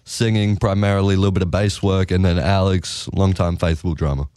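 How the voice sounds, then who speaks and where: A teenage boy with a strikingly deep, smooth voice answers questions about his band. He is easygoing and a little hesitant, filling gaps with uhs. The recording is close and dry. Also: fairly even intonation, brisk, darkish tone, dry recording. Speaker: guest musician. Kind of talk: casual interview answers.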